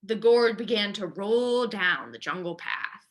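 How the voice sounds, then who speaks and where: A woman's voice: mid-range voice, expressive, measured pace. A woman reading a children's story aloud expressively, as a librarian does at storytime.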